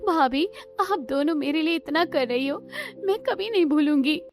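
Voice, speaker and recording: Woman as devoted young wife, high voice. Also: moderate pace. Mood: grateful. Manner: earnest, emotional. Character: devoted, gentle young wife and daughter-in-law. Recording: background music under the voice.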